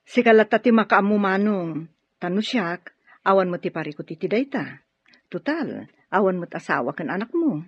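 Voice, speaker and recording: A woman's voice: medium pitch, lively intonation, moderate pace, breathy edge, dry recording. A woman with a Filipino accent acting a frank, supportive younger sister in an Ilocano radio drama. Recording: dry, dull lo-fi radio drama audio.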